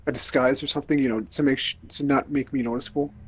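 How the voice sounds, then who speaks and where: A man playing in a tabletop game, speaking in character in an unsure, halting way. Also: medium pitch, steady tone, breathy edge, highs cut off. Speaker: player. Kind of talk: in-character role-play.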